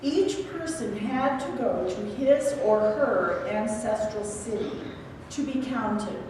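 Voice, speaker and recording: A woman's voice: on the high side, slow pace, a little mellow. A woman reading scripted scripture narration in a church dramatization. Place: large church interior. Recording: echoey church hall, distant, noisy crowd.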